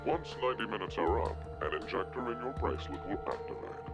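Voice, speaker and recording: An actor playing a calm, sinister mastermind in a video game, speaking in a measured, theatrical and ominous way. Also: male voice, on the low side, gravelly, music in the background. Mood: commanding, detached. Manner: measured, deliberate, ominous storytelling. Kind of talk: video game villain monologue.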